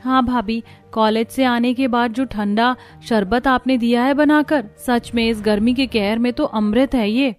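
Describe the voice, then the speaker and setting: Cartoon village girl, grown, mild and accepting, high-pitched, music underneath, a woman acting. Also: fairly even intonation, a little slow, hardly any pauses, close to an everyday voice. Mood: content. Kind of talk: Hindi cartoon dialogue.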